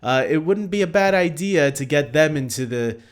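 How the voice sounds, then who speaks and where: Halting, rambling man ranking films casually. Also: fairly high pitch, expressive. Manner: halting, rambling, self-deprecating. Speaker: host of a film ranking video. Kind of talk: casual unscripted list commentary to viewers.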